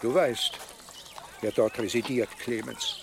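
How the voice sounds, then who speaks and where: An actor playing an imperious, irritated medieval archbishop in a German radio drama, speaking in a formal, sardonic manner. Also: male voice, medium pitch, steady tone, unhurried, creaky, background noise. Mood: haughty, annoyed. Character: imperious, irritated medieval archbishop giving orders to his deputy. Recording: music behind the voice.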